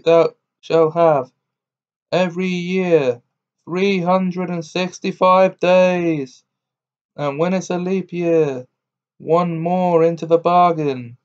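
A man voicing a huge, dim-witted, blustering giant who talks in a slow, booming, old-fashioned way. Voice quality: booming, thundering. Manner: slow, drawn-out archaic speech. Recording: dull lo-fi recording.

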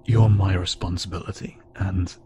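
Man playing a hesitant, low-voiced alien loner pilot. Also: steady tone, natural voice. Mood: earnest, hesitant. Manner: hesitant, halting, stammering and self-doubting. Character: awkward, gentle insect-like alien pilot, a self-described loner. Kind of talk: intimate audio roleplay addressed to the listener. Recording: clearly noisy, with ambient sound bed.

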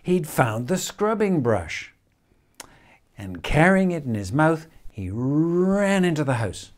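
An older man reads a children's picture book expressively, with measured phrasing and dramatic pauses. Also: a little high, big pitch swings. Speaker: storyteller reading a picture book. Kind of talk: expressive children's storybook reading. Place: indoors, room in a house with stone wall.

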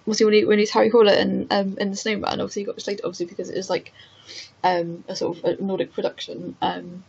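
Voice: medium pitch, flat delivery, fast, gravelly, darkish tone. A speaker joining a casual film podcast, hesitant and full of fillers but enthusiastic. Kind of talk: casual film review chat. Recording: dull lo-fi audio with faint background noise.

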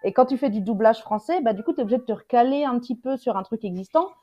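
A woman's voice: a little high, expressive, fast talker. A woman on a panel chatting casually in informal, slangy language over a video call. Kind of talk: casual chat. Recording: muffled video call audio.

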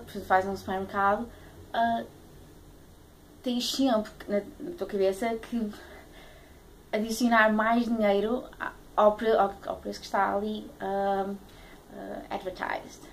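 Hesitant young Portuguese woman, noisy home recording. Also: medium pitch. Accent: European Portuguese. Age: late twenties. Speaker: vlogger. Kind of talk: casual talk to camera, airing a pet peeve. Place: small room at home, indoors. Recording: clearly noisy home webcam audio.

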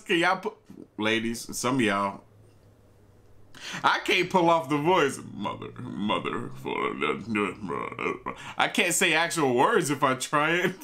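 A man's voice: medium pitch, bright, forceful tone. Easygoing man in his thirties, reaction host, chuckles a lot. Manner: laid-back, chuckling, talks to viewers. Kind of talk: casual reaction commentary. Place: home room with bookshelves and gaming chair.

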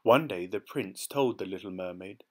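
A man calmly reading a fairy tale aloud from a storybook. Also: sits low, moderate pace. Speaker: storybook reader. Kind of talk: gentle read-aloud storytelling.

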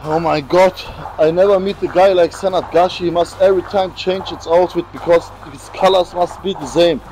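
A man's voice: sits high, fairly even intonation, normal speed, darkish tone, slight background noise, rough recording. A man in his thirties teasing and mocking a friend on camera in non-native English. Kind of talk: casual joking to camera.

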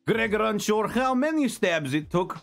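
Man acting a high-pitched, boastful barbarian storyteller. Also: animated, normal speed, creaky. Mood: boastful storytelling. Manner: clipped broken grammar, self-important third-person storytelling. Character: proud, boastful barbarian hunter speaking broken English. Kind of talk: in-character reading of a fantasy newspaper column.

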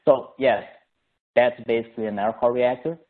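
A man's voice: mid-range voice, fairly even intonation, moderate pace. Brazilian-accented man on a phone-quality line. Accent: Brazilian Portuguese. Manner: hesitant, many "uh" fillers, friendly and explanatory. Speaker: engineer presenting a webinar. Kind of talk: technical webinar presentation. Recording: phone-like narrowband audio.

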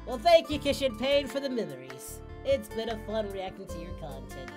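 A performer voicing an excitable male cartoon martial-arts hero who reacts to videos in a theatrical, exclamatory way. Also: mid-range voice, normal speed, music in the background, natural voice. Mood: fond, upbeat. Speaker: host of a reaction channel. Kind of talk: reaction commentary, impression of an anime character.

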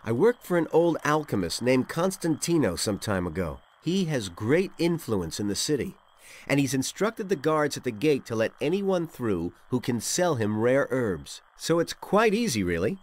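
Animated, knowing fantasy wanderer. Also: male voice, medium pitch, normal speed. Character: helpful, knowing male woodland wanderer, old comrade. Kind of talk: video game dialogue acting.

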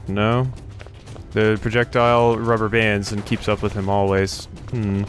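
A man giving casual let's play commentary on a video game, thinking aloud as he plays. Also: lowish voice. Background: video game music and effects.

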